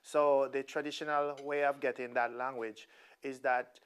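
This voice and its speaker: A Jamaican chef, a man, explaining things slowly and haltingly on a cooking show, with many pauses and ums. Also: mid-range voice, little pitch movement, slight background noise. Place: studio kitchen.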